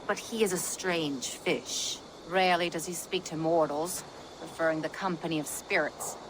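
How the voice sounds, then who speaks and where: Woman acting a low-voiced, composed village mother. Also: fairly even intonation, background noise, lightly acted. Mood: guarded, firm. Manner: measured, formal phrasing. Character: composed adult mother, herb-wise, guarded, pragmatic. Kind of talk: video game character acting.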